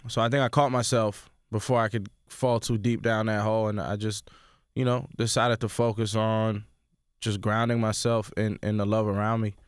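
Laid-back New York man, low voice. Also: flat delivery, moderate pace, smooth, dry recording, clean studio sound. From Sudan. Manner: laid-back, repeats words while thinking. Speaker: rapper guest. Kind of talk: casual interview. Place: radio studio. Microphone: studio mic.

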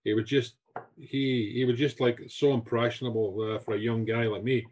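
A man's voice: mid-range voice, moderate pace. A man in his sixties with a Scottish accent, animatedly telling stories of his career as a guest on a video call from his home. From Scotland. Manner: animated, repeats words, gestures with hands. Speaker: guest chef. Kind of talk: storytelling interview answers. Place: home room with shelves.

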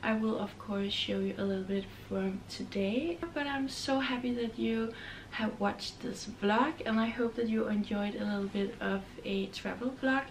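A young woman vlogging to camera from a hotel room, chatting in a slow, relaxed way. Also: medium pitch, slight background noise. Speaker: travel vlogger. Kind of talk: casual vlog to camera. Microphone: camera held close or on a stand.

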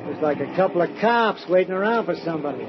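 A talkative cab driver, nosy and blunt, with a slightly high voice full of slangy grammar. The old recording is dull, with clear hiss and noise beneath it. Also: male voice, animated, fast talker. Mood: gabby. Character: chatty working-class male cab driver.

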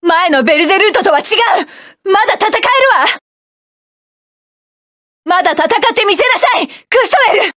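A proud young woman pilot barks sharp, defiant commands in battle in a game. Her voice sits very high, and the studio recording is close and completely dry, with no room sound. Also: normal speed, pauses often, no echo. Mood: fierce, defiant. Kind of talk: game character voice lines.

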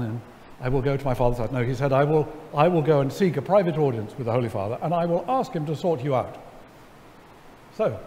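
A middle-aged man, seated on stage, telling a story to an audience in a wry, animated way, full of ums and restarts. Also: medium pitch, a little hiss or hum. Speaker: lecture guest. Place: large church sanctuary before an audience. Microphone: headset mic. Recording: hall reverb, faint ambience.

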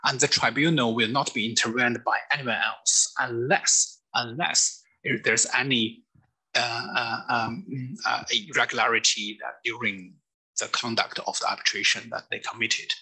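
A middle-aged man from mainland China with a Chinese accent lectures over slides in a webinar. His voice is very bright and a little husky, and his delivery is hesitant, with frequent fillers and restarted phrases. He speaks from an office desk into a webcam. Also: mid-range voice, fairly even intonation, normal speed, rough recording. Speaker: lawyer giving a lecture.

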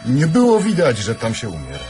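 A man's voice: medium pitch, wildly swooping pitch, brisk, over music. An actor playing a brooding, simple male thief in a grimly comic radio drama, speaking tersely. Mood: grim wonder.

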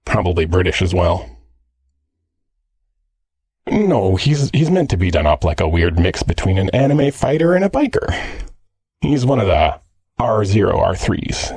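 A man's voice: sits low, a little mellow, husky, no room sound, exaggerated voice. A man playing a teasing, jealous, slangy android boyfriend in an ASMR roleplay. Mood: wry, explaining. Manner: cheeky, slangy, sardonic.

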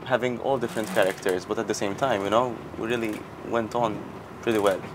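A young man in his twenties with a Maltese accent, talking softly and agreeably on a date on a boat, often repeating his words. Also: fairly low pitch, fairly even intonation, fairly quick, crowd noise. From Malta. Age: 20s. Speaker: reality show contestant. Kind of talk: casual chat on a date. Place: outdoors on a boat at sea. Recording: background music under the voice.

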